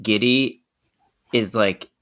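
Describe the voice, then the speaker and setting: A man's voice: fairly low pitch, slow, fairly bright tone. A man co-hosting a casual podcast chat, chatty and laughing mid-sentence. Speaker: podcast co-host.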